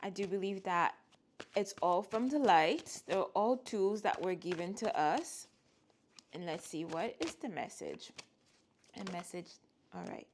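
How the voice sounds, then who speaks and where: A woman whose first language is French talks about astrology and spirituality in a loose, conversational way. She rambles, trips over words and restarts her sentences, keeping an earnest, reassuring tone. Her voice sits at an ordinary middle pitch. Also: expressive, measured pace, slight background noise. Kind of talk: casual spiritual talk to camera.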